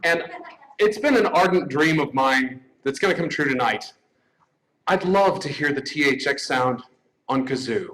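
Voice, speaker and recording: A man's voice: medium pitch, animated, moderate pace, a bit bright, low-quality recording. A man giving a comic stage introduction as an award presenter. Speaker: podcast host presenting an award.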